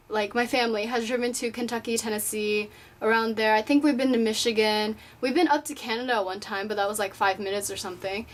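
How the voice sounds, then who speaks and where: An eighteen-year-old woman from Japan chatting casually in a vlog, answering viewer questions at home in her room, rambling and filling her talk with "like". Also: fairly high pitch. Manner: chatty, rambling, fills with "like" often.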